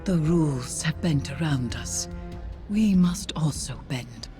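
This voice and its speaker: An actress playing a grave, cryptic witch in a video game, speaking in a deliberate, incantatory manner. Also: low voice, lively intonation. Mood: grave insistence. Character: grave female occult witch, solemn and cryptic. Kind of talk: dramatic video game acting. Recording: loud game music behind.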